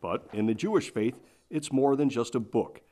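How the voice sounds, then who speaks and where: A male TV reporter reading scripted news narration in a measured, formal way. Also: medium pitch, mellow.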